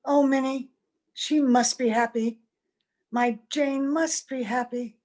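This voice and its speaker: A fairly high female voice from an actress as a wistful gentlewoman with a secret in an old-fashioned radio play. She speaks earnestly and gently, with a touch of melodrama. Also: steady tone, slow. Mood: wistful, anguished. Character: wistful, secretive mother posing as a young visitor. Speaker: actor in an amateur radio play. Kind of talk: old-time radio drama acting. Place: at home, recorded over video call.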